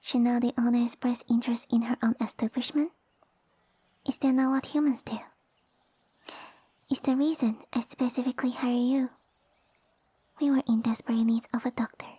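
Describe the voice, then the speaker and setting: A woman voicing a small, sassy female lamia who rules her village, speaking softly and breathily with formal, imperious phrasing in an ASMR roleplay. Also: a little high, steady tone, moderate pace, pauses often, no room sound. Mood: haughty, questioning. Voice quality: soft, breathy, whispery. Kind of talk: ASMR roleplay audio addressed to the listener.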